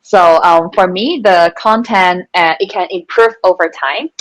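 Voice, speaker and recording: A woman's voice: fairly low pitch, a little slow, dry recording, rough recording. A woman from Hong Kong speaking as a panelist in a casual online chat, chatty, with many fillers and restarts. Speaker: panelist, venture capital co-founder.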